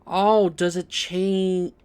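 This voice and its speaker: A man's voice: high voice, little pitch movement, extremely slow, darkish tone, clear, resonant tone. A man doing casual game stream commentary, thinking aloud and often repeating words as he puzzles things out.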